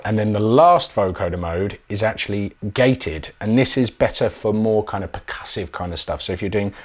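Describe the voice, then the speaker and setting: A man's voice: lowish voice, moderate pace. A man presenting a casual product demo tutorial in an informal, enthusiastic way. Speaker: synth demonstrator, presenter. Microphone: microphone.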